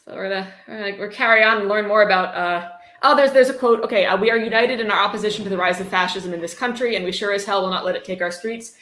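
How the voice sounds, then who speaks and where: A woman's voice: medium pitch, fairly even intonation, fast, few pauses, fairly bright tone. A woman hosting a casual livestream reading, adding wry, sarcastic and blunt commentary as she goes. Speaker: host reading a book aloud with commentary. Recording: roomy, echoey sound.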